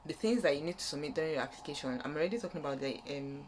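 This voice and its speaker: A woman's voice: deep voice, lively intonation, rapid-fire, noisy background. A young woman with a Nigerian accent gives an informal, unscripted explainer as a vlogger, rambling and rephrasing her points as she goes. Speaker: vlogger giving student application advice.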